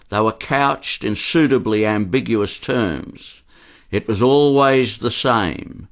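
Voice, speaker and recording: A man giving scripted historical narration in a serious, measured way. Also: mid-range voice, a bit bright.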